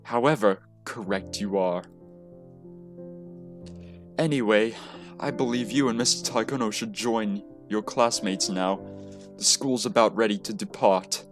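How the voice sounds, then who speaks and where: Man playing elderly woman teacher, composed, stern, formal, unhurried, visual novel, light music. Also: on the low side, lightly acted. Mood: formal, concerned. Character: fragile but commanding elderly lady teacher.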